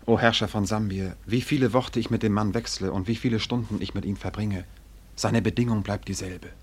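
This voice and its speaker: An actor in a German radio drama playing a proud, dignified young Malay prince who speaks formally and with measured care. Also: male voice, on the low side, fairly even intonation, a little mellow, husky. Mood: urgent, formal.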